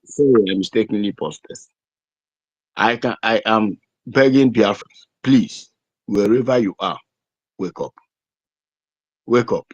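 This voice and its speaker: A Nigerian man giving a deliberate, emphatic political address as an activist speaker, repeating phrases over an online audio call. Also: lowish voice, no echo. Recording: dry, dull lo-fi internet call audio.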